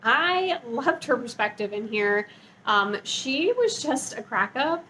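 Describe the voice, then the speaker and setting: High-voiced woman chatting casually about books. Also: sing-song, slow, bright, forceful tone, a little hiss or hum, rough recording. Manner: bubbly, gushing, informal. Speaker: book reviewer and vlogger. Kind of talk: casual chatty book review.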